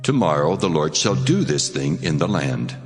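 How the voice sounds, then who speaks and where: A very low male voice plays a commanding, solemn deity in scripture drama. It rings in long cavernous reverb over clear music, stately in every order. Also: sing-song, moderate pace.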